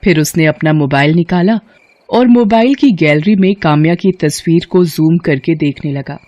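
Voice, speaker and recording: A woman narrating a scripted bedtime story in a steady, even manner. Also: sits low, moderate pace, dry recording, lo-fi sound.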